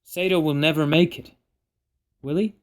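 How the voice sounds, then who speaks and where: A man's voice: a little high, steady tone, normal speed, close to an everyday voice. A man playing a grim, somber team leader in a fan audio drama. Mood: resolved.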